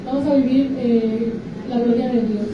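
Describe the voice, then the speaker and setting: A woman interpreting a sermon live, repeating each phrase quickly after the preacher. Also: fairly high pitch, fairly even intonation, lo-fi sound, noisy background. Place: large church hall. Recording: echoey church from far off.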